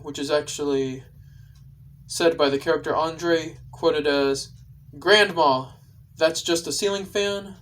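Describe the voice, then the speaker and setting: Man, video creator, casual explanation to viewers, halting delivery, repetitive phrasing. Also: fairly high pitch, distant mic, a little hiss or hum.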